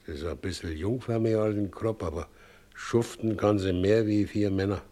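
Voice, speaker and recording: An actor playing a good-natured, troubled ageing widower, a carter who talks in a plain, halting, brooding way in a drama. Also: male voice, lowish voice, mellow, close to an everyday voice. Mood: hesitant, confiding. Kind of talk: stage-style drama acting in colloquial dialogue.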